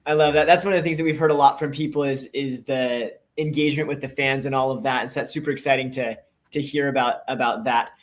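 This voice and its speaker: A man's voice: mid-range voice, fairly even intonation, quick pace. A male podcast host with a mid-range voice asks questions in an upbeat, encouraging tone. His talk is casual, with a few restarts. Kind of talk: podcast interview.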